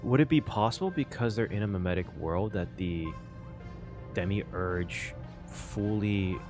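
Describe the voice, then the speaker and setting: A man streaming his reaction to a story game, excitable and thinking aloud, exclaiming and swearing. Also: lowish voice, measured pace. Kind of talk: live game reaction commentary. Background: game music.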